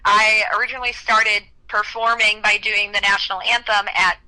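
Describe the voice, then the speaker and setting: A woman's voice: mid-range voice, little pitch movement, normal speed, sharp tone, poor audio. A young woman from Ohio, a country singer, chatting upbeat in a radio interview with lots of "you know". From Cleveland, Ohio. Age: young adult.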